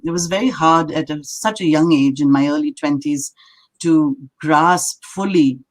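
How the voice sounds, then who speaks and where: An older woman from India with an Indian accent, a native Marathi and English speaker, telling stories as an interviewed poet in a thoughtful, halting, lighthearted way. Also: low voice. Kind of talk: reflective conversational storytelling.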